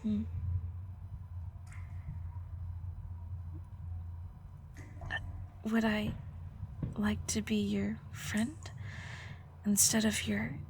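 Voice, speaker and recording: A woman playing a prickly, guarded but secretly caring alchemist in an audio roleplay, halting and flustered, teasing and defensive. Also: medium pitch, monotone, background noise. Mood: surprised, bashful. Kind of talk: audio roleplay acting, intimate one-sided dialogue.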